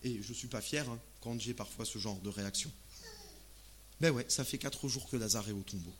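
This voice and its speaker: A man from Alsace preaching a sermon in casual, expressive, conversational language, often asking rhetorical questions. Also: fairly low pitch, normal speed, a little hiss or hum. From Alsace, France. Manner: expressive, uses rhetorical questions and acted-out asides.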